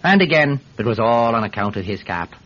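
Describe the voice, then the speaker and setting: An actor playing an eager Irish peat seller testifying in court in a classic radio drama, hesitant, stammering and forever digressing. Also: male voice, medium pitch, very animated, a little fast, a bit bright. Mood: flustered, earnest. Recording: muffled, hissy vintage radio recording.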